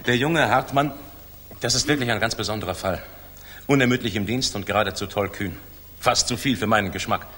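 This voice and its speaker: Husky, modest squadron commander. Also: male voice, on the low side, fairly quick, bright, forceful tone. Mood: earnest. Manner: earnest, self-effacing. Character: modest, upright front-line fighter squadron commander. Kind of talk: 1960s German radio drama acting. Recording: reverberant 1960s mono radio play.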